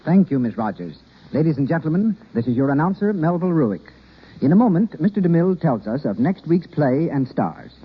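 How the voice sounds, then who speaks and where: A man's voice: a little high, sing-song, brisk, husky. A man making formal scripted announcements in 1930s radio style. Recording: dull vintage radio recording.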